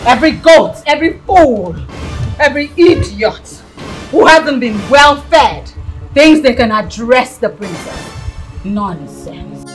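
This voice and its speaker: A woman's voice: fairly high pitch, expressive, takes it slowly, soft background music. A woman with a Nigerian accent playing a haughty, spiteful young princess in a screen drama, scolding and ordering people about with contempt. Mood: screaming, indignant.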